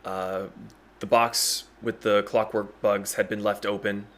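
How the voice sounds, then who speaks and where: A man acting as game master, improvising narration haltingly and thinking as he goes. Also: lowish voice, level tone, fairly bright tone.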